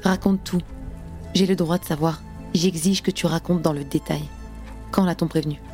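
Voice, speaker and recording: A woman's voice: sits low, fairly even intonation, moderate pace, mellow, natural voice. A woman playing a grieving, fiercely determined young revolutionary in an audio drama, speaking with insistent intensity. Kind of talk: audio drama acting in French. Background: music.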